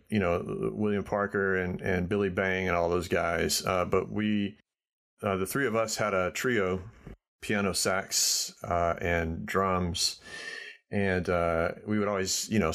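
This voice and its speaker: An easygoing man with a fairly low voice chats on a podcast. He hesitates often and fills his pauses with "uh" and "um", never in a hurry. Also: fairly even intonation, a bit bright. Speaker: podcast co-host, jazz musician. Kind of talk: casual chat.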